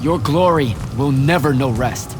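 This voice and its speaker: A man's voice: medium pitch, unhurried, background noise, lightly acted. A man playing an earnest, hot-headed young hero in a video game, blunt, urgent, pleading and defiant. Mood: determined, urgent. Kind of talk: video game cinematic acting.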